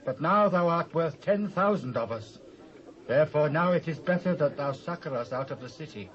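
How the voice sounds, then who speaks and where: A British man playing a forceful, urgent ancient soldier in a dramatised scripture reading in archaic English. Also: fairly high pitch, lively intonation, normal speed. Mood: urgent, insistent. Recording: muffled cassette transfer with faint noise.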